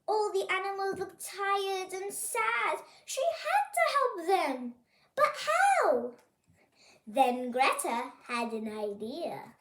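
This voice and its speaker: Very high, animated storyteller in a roomy space. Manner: expressive, pointing things out to young listeners. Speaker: children's storyteller. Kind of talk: animated picture-book read-aloud for kids.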